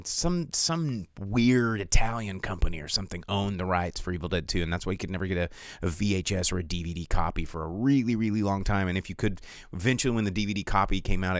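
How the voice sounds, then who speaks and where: Low, smooth-voiced American man. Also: no room sound, studio quality. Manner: animated, opinionated ranting, repeating words for emphasis. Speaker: host of a live film talk show. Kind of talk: casual livestream chat with viewers.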